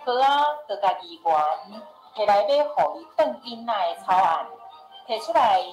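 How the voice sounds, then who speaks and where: A Taiwanese woman narrates a radio drama at a medium pitch. Her storytelling comes with a roomy echo, and music plays faintly behind her. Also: sing-song, a little slow, darkish tone.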